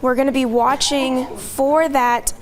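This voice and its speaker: A woman, a TV meteorologist, giving steady live updates on a tornado warning, explaining radar and roads with occasional fillers. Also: high voice, a little slow. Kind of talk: live severe weather coverage.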